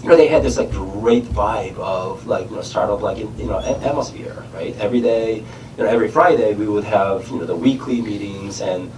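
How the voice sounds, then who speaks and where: Man, Korean accent, middle-aged, cold, halting with fillers, low-ish voice, echoey room. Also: darkish tone, distant mic, a little hiss or hum. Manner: halting, many "you know" fillers. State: has a cold, tongue numbed by lozenge. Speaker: startup founder. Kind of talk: casual onstage chat. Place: conference stage in a large room.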